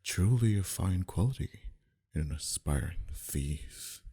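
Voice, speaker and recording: Man acting a very deep, husky mafia boss. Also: animated, warm tone, full character voice. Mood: intrigued. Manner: dominant, teasing, unhurried, with breathy whispered phrases. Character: smug, flirtatious, menacing male mafia boss. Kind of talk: intimate ASMR roleplay acting.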